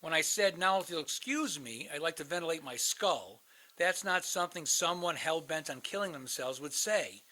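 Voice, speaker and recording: A man's voice: fairly high pitch, expressive, moderate pace, bright, forceful tone. An actor playing a bitter, sardonic man in a radio play, blunt and sarcastic. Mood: smug, cool. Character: bitter, sardonic man, crisis counselor posing as suicidal caller.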